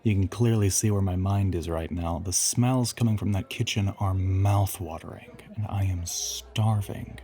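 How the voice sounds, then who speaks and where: A man's voice: low voice, moderate pace, few pauses, dark tone, lightly acted. A man playing an ancient, teasing vampire who poses as a human boyfriend in an audio roleplay, drawling and often slipping toward a whisper. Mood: charming, polite.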